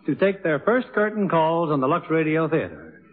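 A man's voice: on the high side, expressive, normal speed. A man announcing in the style of a 1950s radio show host. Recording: muffled, noisy 1950s radio broadcast.

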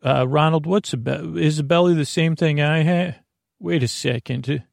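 Guy doing a worried naive mascot critter, creaky n kinda high, asks confused halting questions, podcast bit. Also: no echo, studio quality. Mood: puzzled. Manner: anxious, puzzled, halting questions. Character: naive, worried fast-food mascot creature.

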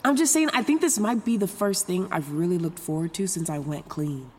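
A woman's voice: fairly low pitch, a little fast, darkish tone, natural voice. Actress as a messy, frank young woman, reverberant sound. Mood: wistful, casual. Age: young adult. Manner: rambling, self-interrupting, sarcastic, casual slangy talk. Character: messy, frank young woman, newly sober, foul-mouthed. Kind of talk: confessional first-person audio drama narration.

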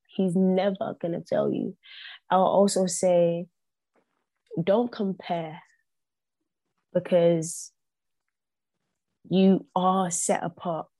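A woman's voice: fairly low pitch, flat delivery, drawn-out words, long pauses, mellow, no echo. A young woman, a podcast guest whose first language is not English, speaking earnestly and repeating phrases for emphasis. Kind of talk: reflective podcast conversation.

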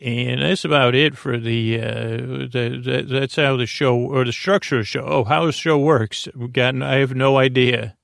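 A man hosting a sleep podcast in a soft, lulling voice with a gravelly edge. He meanders from thought to thought with many pauses and restarts. His voice is recorded close and dry, with no room sound. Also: mid-range voice, steady tone, no room sound. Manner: meandering, hesitant, full of fillers and restarts. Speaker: podcast host. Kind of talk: rambling bedtime podcast talk.

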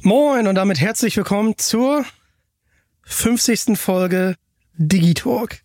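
A man's voice: sits high, lively intonation, slow pace, darkish tone, faint music behind. A man hosting a podcast in a casual, conversational style. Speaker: podcast host.

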